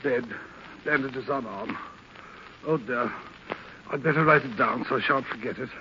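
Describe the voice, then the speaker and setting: An actor playing a timid, deferential, dithering manservant in an old radio drama, mumbling and hesitant. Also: male voice, medium pitch, moderate pace, lightly acted. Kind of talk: old radio comic-relief acting. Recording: hissy, muffled old radio recording.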